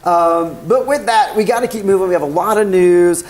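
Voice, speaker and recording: A man co-hosting a news show in a casual, chatty, rambling way, with a raspy, hoarse voice. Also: sits high, a little fast, darkish tone, a little hiss or hum. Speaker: news co-host.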